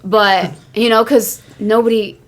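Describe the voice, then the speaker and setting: A woman's voice: mid-range voice, fairly even intonation, a little slow. A woman co-hosting a casual wrestling discussion podcast, enthusiastic and full of opinions. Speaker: podcast co-host.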